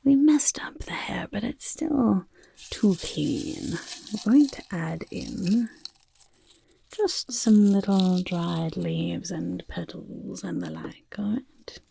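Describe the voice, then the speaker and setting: A woman voicing a chaotic, motherly goblin overlord who loves filth, in a soft-spoken ASMR roleplay, coaxing and fussing in breathy, creaky whispers with repeated words, close to the microphone. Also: mid-range voice, big pitch swings, takes it slowly, dry recording, heavily acted. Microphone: close microphone, dry sound.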